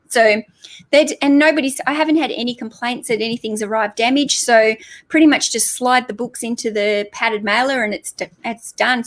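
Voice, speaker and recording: A woman with an Australian accent, a guest chatting casually on a video call, explaining things step by step with lots of fillers. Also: a little high. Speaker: guest on a reselling live chat. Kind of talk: casual chat.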